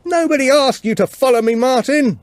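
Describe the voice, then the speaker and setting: A man voicing an exasperated policewoman who calls out loudly and with strain through a storm in an audiobook. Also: extremely high pitch, fairly quick, no room sound, voice pushed up. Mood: annoyed, defensive. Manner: loud, strained calling out.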